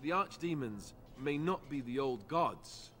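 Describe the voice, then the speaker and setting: A man's voice: medium pitch, expressive, a little slow, fairly bright tone, a little hiss or hum. A man playing a wry, good-natured young warrior in a fantasy video game, with understated, earnest delivery. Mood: solemn, storytelling. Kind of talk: video game dialogue acting.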